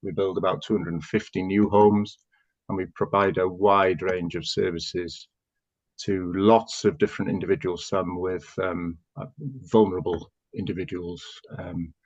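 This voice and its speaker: A man from North Wales, a native Welsh speaker, speaks in a very low voice. He's a chief executive answering interview questions in a relaxed, unhurried way with plenty of ums. The audio sounds dull and lo-fi. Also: husky. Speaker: chief executive, podcast guest.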